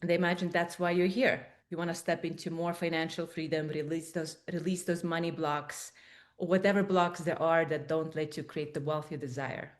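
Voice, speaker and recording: A woman's voice: deep voice, fairly even intonation, a little fast. A woman with an Estonian accent, a coach presenting a webinar in person and inviting the audience to join in. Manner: warm and inviting, addresses audience directly. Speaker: coach and guest speaker. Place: conference room with small group.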